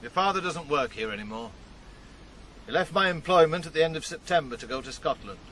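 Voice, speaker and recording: An actor playing a prim, middle-aged householder in a radio drama, speaking formally and with stiff disapproval. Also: male voice, on the high side, expressive, brisk, fairly bright tone, slight background noise, poor audio. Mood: stern, conciliatory. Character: stiff, indignant male householder and employer.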